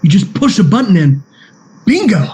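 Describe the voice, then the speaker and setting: An eager 1940s radio actor who talks with a bright, bouncy lilt that jumps up and down a lot, played by a cast member. Cheerful and enthusiastic, full of pep. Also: medium pitch, big pitch swings, moderate pace, close to an everyday voice. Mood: chipper. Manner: chipper, enthusiastic. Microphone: video call.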